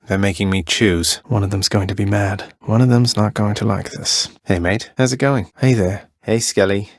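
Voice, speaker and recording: A man's voice: on the low side, slightly rough, no echo. A male actor playing a wry, confident young underworld prince in a video game, with a dry, understated, cocky way of speaking. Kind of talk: short in-game voice lines.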